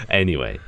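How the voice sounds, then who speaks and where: A British man in his twenties chatting casually as a podcast co-host while improvising a story. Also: noisy background. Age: 20s.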